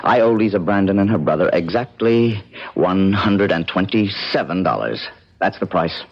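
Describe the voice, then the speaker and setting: An actor playing a bitter, haunted young painter and son in a vintage radio drama, with a guarded manner. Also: male voice, deep voice, expressive, normal speed. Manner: curt, guarded. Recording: muffled, faintly hissy old radio recording.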